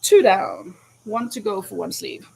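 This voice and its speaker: A woman's voice: medium pitch, a little slow, gravelly, warm tone, breathy edge, poor audio. A woman with a Norwegian accent chatting casually and rambling a little while crocheting live, answering viewers' questions. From Norway. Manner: chatty, rambling, self-correcting. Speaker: crochet vlogger and teacher. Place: home in Spain, crickets outside.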